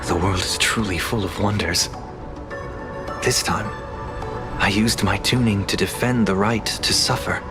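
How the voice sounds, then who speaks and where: An actor playing a gentle, sincere young male traveller in a game, calm and thoughtful. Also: lowish voice, unhurried, pauses often, music in the background, close to an everyday voice. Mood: reflective, tired. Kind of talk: scripted game dialogue.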